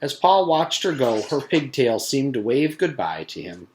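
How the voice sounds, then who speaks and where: Male teacher, storybook read-aloud, lively varied pitch, mid-range voice. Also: unhurried, a little hiss or hum, poor audio.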